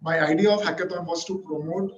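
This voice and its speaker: A man's voice: fairly high pitch, steady tone, fast talker, slight background noise. A man from India answering panel questions hesitantly, with many filler sounds, in an online webinar. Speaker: hackathon organizer presenting. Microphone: computer mic on video call. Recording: muffled lo-fi webinar audio.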